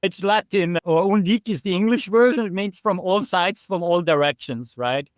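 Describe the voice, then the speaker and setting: Man, 40s, podcast guest, earnest explainer, crisp dry high voice. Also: moderate pace, no room sound. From Austria. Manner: earnest, explanatory, with frequent restarts and "right?" tags. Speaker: podcast guest, app developer. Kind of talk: casual interview chat.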